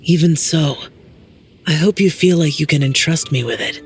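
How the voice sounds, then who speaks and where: An actor playing a gentle, earnest young man and loyal friend in a video game, speaking considerately. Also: fairly high pitch, normal speed, soft background music, natural voice. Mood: earnest, tender.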